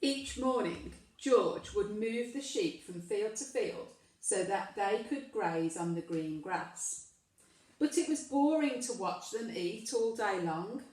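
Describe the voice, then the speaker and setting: A woman reading a children's story aloud with emphatic, deliberate delivery, addressing questions to young listeners. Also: mid-range voice, animated, darkish tone. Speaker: primary school storyteller. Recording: very echoey room, distant microphone.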